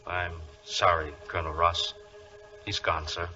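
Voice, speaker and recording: Grave veterinary surgeon, low voice, unhurried measured delivery, off-mic, dull vintage radio recording. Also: male voice, little pitch movement, sharp tone, distant mic, soft background music, heavily acted. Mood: solemn, ominous. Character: solemn veterinary surgeon bearing bad news. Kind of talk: 1940s radio drama acting.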